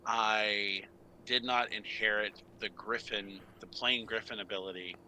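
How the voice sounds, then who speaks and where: A man with a low but very sharp, bright voice improvises his character's story at a tabletop game. He speaks haltingly, correcting himself and searching for words, over faint background noise. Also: fairly even intonation, rough recording.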